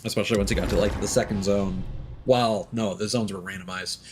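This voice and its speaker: A man giving casual live commentary on a video game, musing and thinking aloud in a halting way. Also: on the low side, steady tone, a little mellow. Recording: clearly noisy, game sound underneath. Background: video game ambience.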